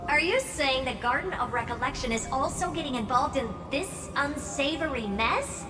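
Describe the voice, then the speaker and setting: A woman's voice: high voice, animated, normal speed. A woman playing a haughty, defiant and mocking game character with a theatrical, arch delivery. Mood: amused defiance. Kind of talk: scripted game voice acting. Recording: distant voice in echoing hall, music.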